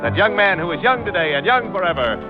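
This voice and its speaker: Loud music sits behind a man on a muffled old radio broadcast. He announces in a scripted, vintage show-opening style. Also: high voice, big pitch swings, quick pace.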